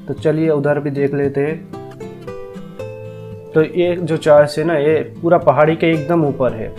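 Higher-pitched man narrating over music. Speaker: travel vlogger and host. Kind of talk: casual travel vlog narration.

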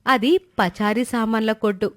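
A woman's voice: a little high, fairly even intonation, measured pace, no echo, studio quality. A woman narrator reading a scripted moral story in a storytelling style.

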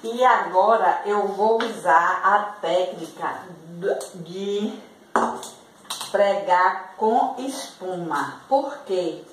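A woman's voice: mid-range voice, drawn-out words. A middle-aged Brazilian woman giving a casual, informal how-to demonstration, explaining step by step as she works in a home kitchen. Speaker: vlog host demonstrating DIY. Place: small tiled home kitchen. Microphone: camera mic at a distance. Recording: echoey, distant, faint room noise.

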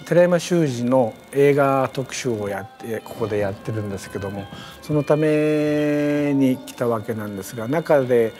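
A man speaking reflectively in an interview as a performer, pausing often to search for words. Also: mid-range voice, dark tone, soft background music. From Japan. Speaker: performer and artist's collaborator. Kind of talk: reflective interview in short phrases.

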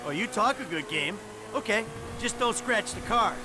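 A man's voice: high voice, lively intonation, normal speed. An actor playing a cocky, sardonic male criminal in a video game. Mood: sardonic, relaxed. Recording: loud sirens and engine noise.

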